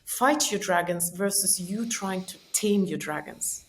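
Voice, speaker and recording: A woman's voice: fairly low pitch, unhurried, a little hiss or hum. A woman whose first languages are Estonian and Russian, speaking English as a podcast guest in an earnest, reflective, coaching tone. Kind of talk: reflective conversational explanation.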